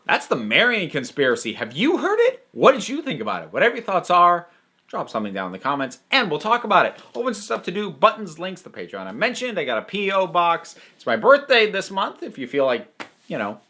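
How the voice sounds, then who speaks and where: A man hosting a casual, unscripted review, talking emphatically and thinking aloud with halting, digressive phrasing. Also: a little high, huge pitch swings, pushed and bright. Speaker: host reviewing audio dramas.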